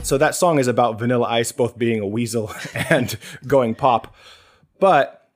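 A man hosting a casual podcast chat, explaining things in a measured way and thinking aloud as he goes. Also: mid-range voice, music in the background. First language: English. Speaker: podcast host.

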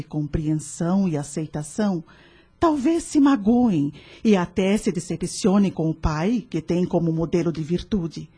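An actress playing a wise, serene woman who gives kindly spiritual counsel in a radio drama, speaking in a measured, earnest, preachy way. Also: fairly low pitch, mellow, breathy edge, no echo. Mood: earnest, soothing.

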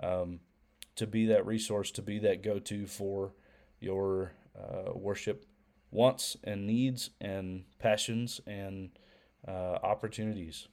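A young man co-hosting a faith podcast, talking casually with a head cold that makes him a little nasal, often pausing with ums and restarting. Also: lowish voice, fairly even intonation, darkish tone. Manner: hesitant, many ums and restarts. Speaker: podcast co-host.

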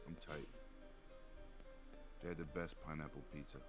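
A man with a New York accent joking loudly with friends in a casual group chat, laughing often. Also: a bass voice, steady tone, moderate pace, faint music behind. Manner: loud joking, laughs a lot.

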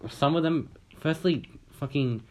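A man's voice: fairly high pitch, unhurried. A young man from Australia telling a long, rambling story in casual chat on a podcast, swearing casually and acting out what people said.

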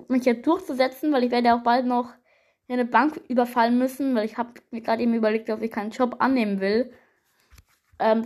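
A woman with a fairly high voice improvises a game roleplay as narrator and player. Her delivery is casual, chatty and halting. She often turns to speak directly to her listeners. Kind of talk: improvised, casual first-person game storytelling.

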